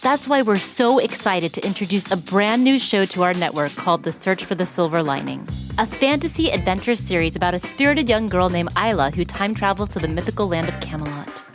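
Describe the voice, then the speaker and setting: A woman's voice: lowish voice, expressive, a little fast. A woman delivering a scripted, upbeat promotional read as a podcast presenter. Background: music.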